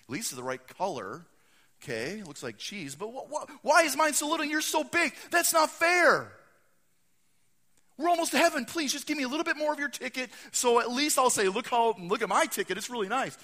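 Man putting on a high, whining, indignant sports fan. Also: very animated, fairly quick, fairly bright tone, breathy edge, full character voice. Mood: whining, pleading. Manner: complaining, pleading, easily upset. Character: loud, indignant, whining male football fan. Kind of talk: comic storytelling to a live audience.

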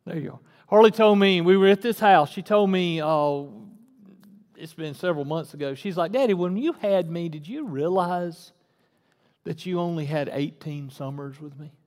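A man's voice: on the high side, normal speed, darkish tone, long reverb tail. A man, preaching a casual, folksy sermon to his congregation and rising to fervent emphasis. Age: 40s. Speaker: pastor. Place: church sanctuary.

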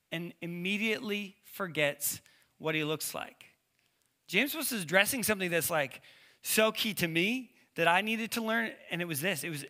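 A man's voice: sits high, pushed and bright. A man with a lisp giving a casual, conversational sermon as a preacher, with informal, slangy storytelling. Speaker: preacher, youth ministry leader.

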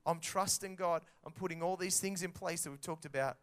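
A man preaching a sermon to children and parents, telling a story in a lively way and acting out the dialogue. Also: fairly high pitch, fairly quick.

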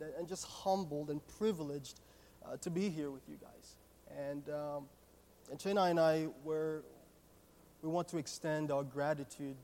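A nervous man with a Haitian accent, a native Haitian Creole speaker, giving a personal testimony sermon in an earnest, halting way, repeating words as he goes. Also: on the high side, darkish tone, a little hiss or hum. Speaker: guest preacher at a church. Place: church sanctuary, speaking to a congregation. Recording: echoey hall with long reverb.

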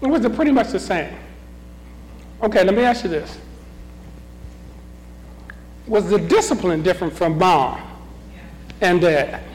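A man's voice: high-pitched, animated, normal speed, a little hiss or hum. A middle-aged man leading a group Bible discussion, asking questions, restarting his sentences and often chuckling. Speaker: Bible study leader. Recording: reverberant meeting room.

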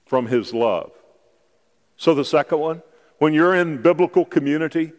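A man pastor preaching a sermon, telling personal stories in a conversational way and rising to emphatic calls to his church. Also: a little high. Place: church sanctuary. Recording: long hall reverb from a church sanctuary.

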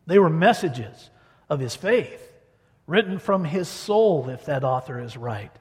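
A man delivering a Sunday sermon in a church, his voice sitting a little high for a man. He tells his story at a shifting pace, slowing on key words. A long reverb from the sanctuary trails each phrase. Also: animated. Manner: storytelling, varies pace for emphasis. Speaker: pastor.

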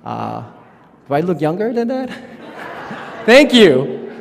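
A man in his thirties, from South Korea, preaching as a youth pastor in a halting, informal, friendly way. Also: high voice, very animated, vocal fry. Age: 30s. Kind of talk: sermon. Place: church sanctuary. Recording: long church reverb.

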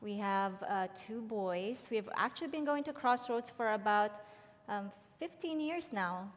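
A woman's voice: mid-range voice, expressive, unhurried. A middle-aged woman from the Philippines, giving a prepared personal testimony in a large church hall. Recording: long hall reverb.

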